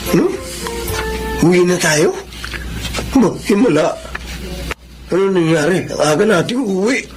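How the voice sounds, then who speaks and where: A man's voice: sits high, unhurried, over music. An actor playing a cheeky, jokey bachelor employee who teases his boss in a Filipino radio drama. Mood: surprised, teasing. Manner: jokey, animated. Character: cheeky, teasing bachelor sidekick and funeral parlor employee.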